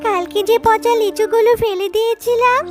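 A woman's voice: extremely high pitch, steady tone, moderate pace, loud music behind the voice. A woman voicing a sweet, childlike little girl cub in a children's cartoon story, coaxing and eager. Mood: gentle.

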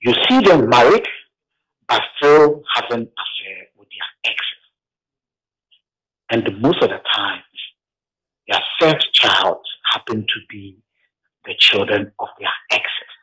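A man from Ghana, calling in to a radio show by phone and telling his story haltingly, with restarts. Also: on the low side, lively intonation, sharp tone, husky, rough recording. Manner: heavy, halting account with restarts. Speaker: caller.

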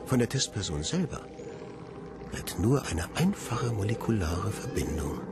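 An actor playing a cold, clinical scientist with deadly plans in a radio drama, speaking in a detached, formal, precise manner. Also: male voice, on the low side, sing-song, measured pace, noisy background. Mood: coldly clinical. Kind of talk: German radio drama monologue.

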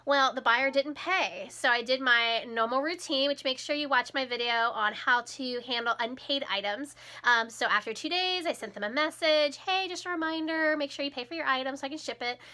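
A chatty woman in her thirties speaks close to the camera in a small room at home. Her voice sits high and rings brightly, with a slight froggy edge from a cold, and she laughs easily as she talks. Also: normal speed, few pauses. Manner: chatty and upbeat, laughs often. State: recovering from a cold, a bit hoarse. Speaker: resale vlogger. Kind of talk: casual chat.

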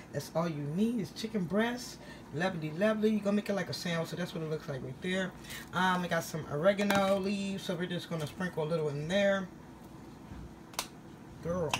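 A woman's voice: fairly low pitch, background noise. A tired woman after a long workday, chatting casually as she walks through a home recipe, filming on a handheld phone in her kitchen. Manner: chatty, repeats "all right?" and "guys". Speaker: home cook vlogger. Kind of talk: casual cooking walkthrough. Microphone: phone held in hand.

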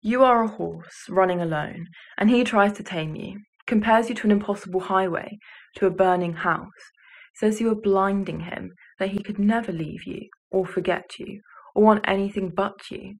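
A young woman reciting a poem in a measured, serious manner, recorded in a bedroom. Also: mid-range voice, low-quality recording. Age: teenager or young adult. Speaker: student poetry reader. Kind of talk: poetry recitation.

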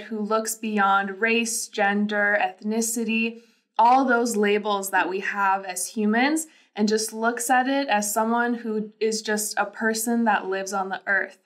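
A young woman from Lithuania co-hosting a podcast, explaining things in a calm, measured way. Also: medium pitch. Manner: calm, measured, frequent "you know". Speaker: podcast co-host. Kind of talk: casual podcast chat. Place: small bright studio room, indoors. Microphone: desk microphone.

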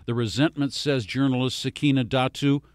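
A man presenting a television news feature in a measured, formal delivery. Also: mid-range voice, fairly even intonation, no echo, clean studio sound. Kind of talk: scripted news narration.

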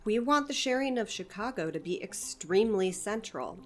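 A woman's voice: lively intonation, normal speed, soft background music, natural voice. A woman playing a chatty, enthusiastic community center director in an audio drama, talking expansively and persuasively. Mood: eager, persuasive. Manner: expansive, persuasive, eager to pitch ideas.

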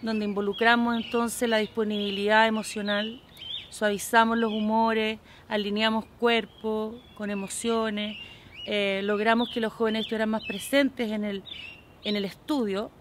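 Woman, mid pitch, reflective interview, light birdsong and noise behind. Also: flat delivery, a little slow.

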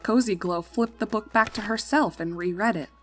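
A woman narrates a scripted story in a medium-pitched voice. She reads as a storybook narrator does, with soft music behind her. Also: normal speed.

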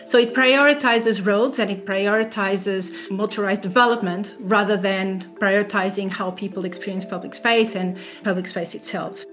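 A woman researcher explains her work as an interviewee. Her voice is medium in pitch, and there is faint music behind it. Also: steady tone, moderate pace, few pauses, fairly bright tone. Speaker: academic researcher being interviewed.

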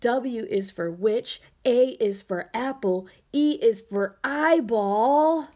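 A woman reading a children's picture book aloud with expressive, dramatic emphasis. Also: a little high, takes it slowly. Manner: expressive, putting on playful voices and dramatic emphasis. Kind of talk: animated storybook read-aloud for children.

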